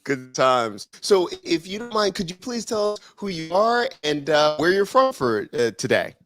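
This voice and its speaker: A man's voice: on the high side, sing-song, moderate pace, fairly bright tone. A man hosting an interview show over a video call, asking friendly, upbeat questions. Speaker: podcast host. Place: home studio with a brick wall and LED lights.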